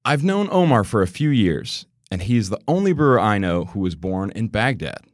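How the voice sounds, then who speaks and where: A man's voice: lowish voice, sing-song, moderate pace, dry recording, clean studio sound. A man acting as a podcast interviewer and host, addressing listeners and his guest. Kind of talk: podcast interview with prepared introduction.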